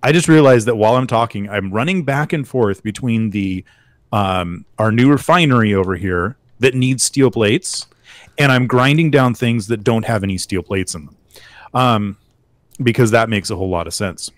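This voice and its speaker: A man's voice: fairly low pitch, moderate pace, no echo. A man chatting casually while playing a game with a friend, joking and trailing off with filler words. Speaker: gaming channel host. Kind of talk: casual co-op gameplay chat.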